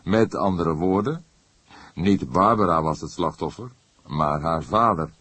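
A man playing a seasoned, thoughtful older police inspector in an audiobook, speaking in a measured, deliberate way. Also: low voice, a bit bright, husky, no echo, full character voice. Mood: grave, deliberate. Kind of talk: audiobook character acting.

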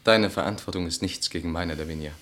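An actor playing a troubled, earnest, hesitant husband in a radio play, speaking formally in halting phrases. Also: male voice, low-pitched, a bit bright. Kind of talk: German radio play acting.

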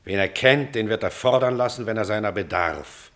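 A man's voice: fairly low pitch, moderate pace, fairly bright tone, natural voice. An actor playing a proud, anguished older father in a classical German radio drama, speaking formally with bitter restraint. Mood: restrained, grave. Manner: grave, formal, weighty, bitterly ironic.

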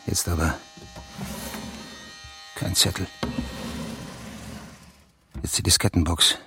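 An actor playing a sly, nervy French snoop in a radio play, muttering tersely. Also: male voice, low voice, little pitch movement, takes it slowly, bright, forceful tone, a little hiss or hum, heavily acted. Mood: furtive, tense. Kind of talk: radio play dialogue with muttered, hurried asides.

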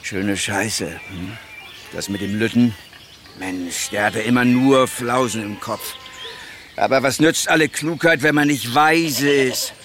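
Radio drama: middle-aged master farmer, gruff but hearty, wry, plain-spoken, naturalistic delivery. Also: male voice, mid-range voice, slow, fairly bright tone, slight background noise. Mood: weary, bitter. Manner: earthy, colloquial, wry, chuckling asides. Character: gruff, hearty older dairy master, fatherly to his former apprentice.

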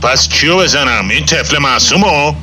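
A man playing a gruff, exasperated, sarcastic father in a radio drama. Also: on the high side, animated, pushed and bright, soft background music. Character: grumbling, exasperated father on the street. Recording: street and traffic noise behind. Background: faint car and street sounds.

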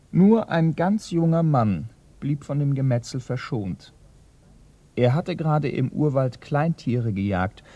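An actor playing an earnest, bookish police assistant in a radio crime play, speaking in a precise, educated way. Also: male voice, medium pitch, warm tone, muffled recording. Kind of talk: German radio play acting.

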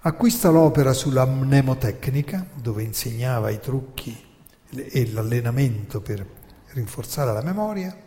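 A man from Italy calmly narrating a historical story on the radio, dropping low at the ends of phrases. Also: measured pace. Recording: radio broadcast with long reverb.

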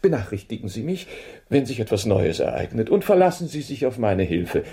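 Keen detective, radio drama, deliberate, probing, musing, very varied intonation, gravelly edge. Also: male voice, medium pitch, big pitch swings, mellow. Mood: measured, reassuring. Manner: deliberate, musing, deductive, questioning. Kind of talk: German radio drama acting.

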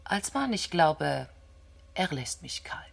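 A woman playing an ambitious young astronomer under questioning in a science fiction radio drama, candid and introspective, speaking haltingly. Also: sits low, very animated, vocal fry, heavily acted. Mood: candid, reflective.

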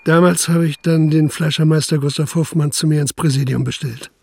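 An actor playing a methodical, relentless police official in a German radio play, speaking insistently and deliberately. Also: male voice, a little high, fairly even intonation, creaky, a little mellow, breathy edge. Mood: reflective narration.

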